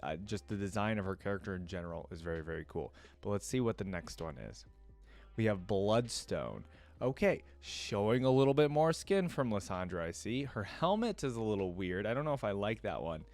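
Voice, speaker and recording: A man's voice: on the low side, big pitch swings, fairly quick, darkish tone, faint music behind. A man hosting a reaction video, talking casually and thinking aloud as he addresses his viewers.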